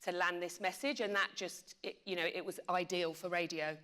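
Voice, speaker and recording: Bright-voiced woman on a panel. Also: lowish voice, fairly even intonation, fairly quick, clean tone. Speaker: media company panelist. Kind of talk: panel discussion talk.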